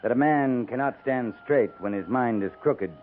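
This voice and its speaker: Man playing a steady cavalry captain, old radio sound. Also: medium pitch, a little hiss or hum, close to an everyday voice. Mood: firm, frank. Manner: measured, blunt, plain-spoken. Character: steady, frank US cavalry captain, calm and commanding. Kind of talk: 1950s radio western acting. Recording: muffled, narrow-band 1950s radio recording.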